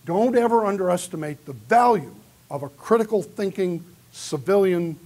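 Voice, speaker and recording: A man's voice: a little high, a little mellow, husky. A man giving a conference keynote in a large hall, lecturing earnestly and taking audience questions. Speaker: keynote speaker. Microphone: far camera in the audience.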